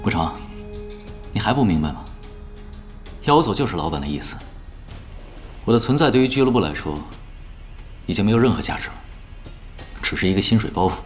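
A man playing a calm, resolute veteran pro gamer in an audio drama, composed and understated in delivery. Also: on the low side, fairly quick, long pauses, faint music behind.

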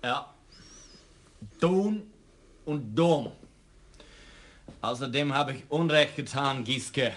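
An actor playing a drunk, remorseful police lieutenant who speaks slowly and slurs. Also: male voice, medium pitch, lively intonation, fairly bright tone, exaggerated voice. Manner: slurred, slow, self-reproaching.

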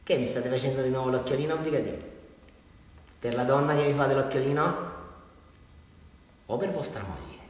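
An actor playing a deranged, naive murderer with a southern Italian accent, rambling and repeating himself childishly in a theatrical radio drama confession. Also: male voice, mid-range voice, moderate pace, long pauses, close to an everyday voice. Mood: musing. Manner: rambling, repetitive, childlike, addressing his listener. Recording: very echoey hall, far from mic.

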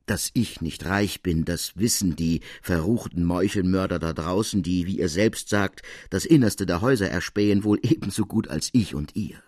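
A man voicing a dignified, witty noblewoman of seventy-three in a storybook reading, with measured, courtly speech. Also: deep voice, a little mellow, slightly rough, no room sound, clean studio sound. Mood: amused, dismissive. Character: dignified witty 73-year-old French noblewoman, unafraid, self-deprecating.

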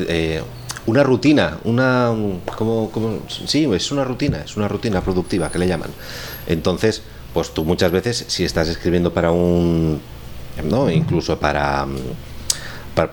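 A man from Spain with a Spanish accent, chatting casually as a scriptwriter and teacher in a wry, rambling way. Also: sits low. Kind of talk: casual chat on a radio show. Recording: clearly noisy room recording.

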